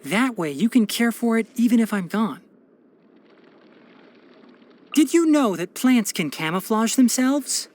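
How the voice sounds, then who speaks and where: A young fox-eared forest ranger from a fantasy video game. He is a practical scholar who speaks in articulate, measured phrases with a dry, wry edge. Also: very high voice, lively intonation, darkish tone. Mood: thoughtful. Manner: precise, articulate, matter-of-fact with dry sarcasm. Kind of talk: scripted video game character voice lines.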